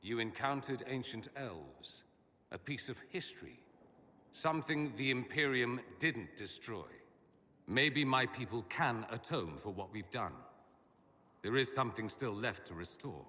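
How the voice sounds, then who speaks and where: An actor playing a witty, theatrical mage and homesick idealist in a dramatic game scene, with wry, polished delivery. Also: male voice, mid-range voice, lively intonation, unhurried, sharp tone, long reverb tail. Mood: brooding, earnest.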